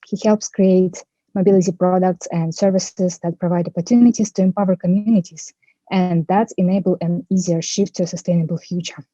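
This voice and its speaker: A woman's voice: on the low side, steady tone, fairly quick, warm tone, no echo, highs cut off. A woman moderating a panel on a video call, carefully and formally reading out the speakers' introductions.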